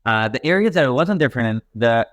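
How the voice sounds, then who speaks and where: A man's voice: mid-range voice, expressive, fast talker, a bit bright, dry recording, clean studio sound. A young man from Pakistan, a stand-up comic guest chatting casually and rambling with lots of fillers. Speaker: podcast guest, stand-up comedian. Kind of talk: casual chat.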